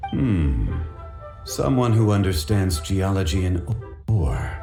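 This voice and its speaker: A composed, scholarly gentleman with a low voice. He speaks in measured, formal sentences with varied intonation and polite modesty, as an anime game character. Music sits behind his echoey sound. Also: sing-song, vocal fry, heavily acted. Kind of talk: anime-style video game acting.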